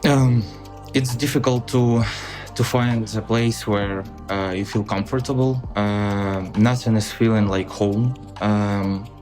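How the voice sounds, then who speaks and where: A young man with a Ukrainian accent speaks slowly and haltingly, his voice sitting fairly low. He pauses often, filling gaps with soft hesitations as he answers a podcast host. Faint music plays beneath him. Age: young adult. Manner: halting, many fillers and restarts. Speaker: podcast guest. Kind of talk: reflective interview answers.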